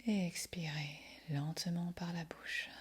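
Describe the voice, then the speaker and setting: A woman guiding a yoga nidra meditation in a breathy, whispery, husky voice, speaking softly and soothingly with long pauses. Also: lowish voice, steady tone. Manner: soft, soothing, unhurried instruction with long pauses. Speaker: meditation guide.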